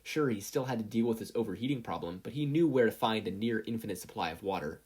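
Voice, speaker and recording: A narrator reading scripted fiction aloud in an animated storytelling style. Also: medium pitch, fast talker, slightly rough. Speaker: podfic narrator.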